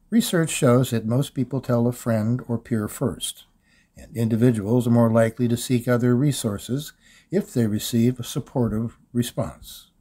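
Mellow man narrating instructional material. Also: mid-range voice. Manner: measured, instructional delivery. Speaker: voice-over narrator reading a demo. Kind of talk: scripted e-learning narration.

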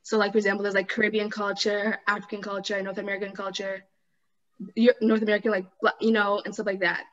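A woman's voice: mid-range voice, level tone, quick pace, a bit bright. A teenage girl on a video call whose webcam audio comes through dull and lo-fi. She talks in a middle voice, hesitating over "um" and "like" as she thinks. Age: teens. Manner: hesitant, "um" and "like" fillers. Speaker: club co-leader, panelist. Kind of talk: casual panel discussion.

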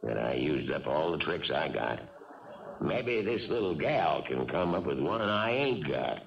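A man acting a gruff, folksy, plainspoken baseball team manager in a 1970s radio drama. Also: a bass voice, very animated, a little slow, exaggerated voice. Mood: determined. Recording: dull 1970s radio recording, distant, crowd.

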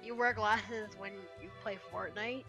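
A woman's voice: mid-range voice, steady tone, measured pace, sharp tone, dull audio, soft background music. A young woman chatting over a game, blunt and cheeky, firing nosy questions at her teammate. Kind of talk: casual gaming chat.

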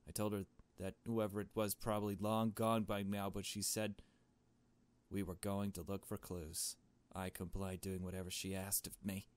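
Low-voiced man reading as a nervous, shaken mystery heroine. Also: flat delivery, moderate pace, smooth, lightly acted. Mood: subdued, uneasy. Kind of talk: visual novel story read aloud.

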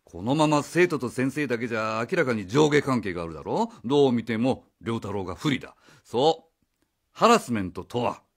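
Animated, brash, meddling man played by an actor. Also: mid-range voice, fairly quick. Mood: pompous. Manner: casual, pushy, theatrical lecturing. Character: brash, meddling, good-hearted middle-class stranger uncle. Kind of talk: Japanese radio drama acting.

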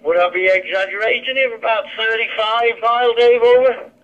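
A man's voice: very high voice, steady tone, moderate pace. A man chatting bluntly and informally as a radio ham, heard through a digital radio transmission. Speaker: amateur radio operator. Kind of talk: casual two-way radio chat. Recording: dull digital handheld radio speaker.

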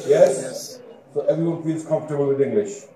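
A man's voice: on the high side, a little fast, mellow. Man lecturing from a podium in an echoey hall. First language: Urdu. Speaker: guest lecturer. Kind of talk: formal public lecture. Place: large auditorium stage at a podium. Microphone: podium mic, seen from a far camera. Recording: echoey hall, faint room noise.

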